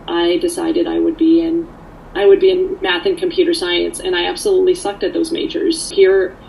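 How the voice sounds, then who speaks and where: A woman's voice: fairly low pitch, flat delivery, normal speed, creaky, a little mellow, a little hiss or hum, poor audio. A woman from Canada, born in Poland, giving candid, earnest personal testimony in a conversational way. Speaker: speaker sharing her recovery story.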